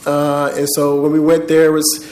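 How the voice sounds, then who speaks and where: An American man in his thirties telling his own story to an audience, casually and haltingly, into an event microphone. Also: fairly high pitch, completely flat delivery, a little mellow. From born in the Bahamas, grew up in Miami and Atlanta, United States. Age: 30s. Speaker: speaker, army veteran. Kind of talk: informal personal storytelling to an audience. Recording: echoey room.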